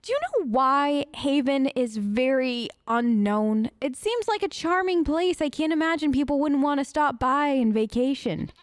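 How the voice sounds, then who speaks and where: A woman's voice: high-pitched, expressive, measured pace, hardly any pauses, no echo, studio quality. A woman improvising in character for a video game let's play, chatty and hesitant, with an awkwardly polite manner.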